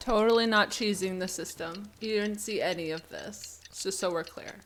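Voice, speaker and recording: A woman gamer chats casually over her playthrough at a medium pitch. She is joking, sarcastic and sweary, with game music clearly audible behind her. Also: moderate pace.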